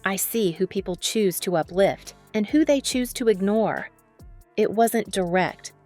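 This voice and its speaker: A woman's voice: medium pitch, darkish tone. Female narrator, mid-range voice, scripted dramatic sports news read, faint music bed.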